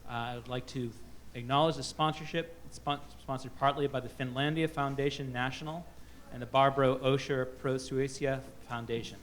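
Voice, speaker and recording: A man's voice: mid-range voice, steady tone, a bit bright. Guy moderating a book panel, reads bios, lots of uhs and restarts, bit of hum in the room. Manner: halting, many fillers and restarts. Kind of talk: reading introductions at a book festival panel. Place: festival panel stage.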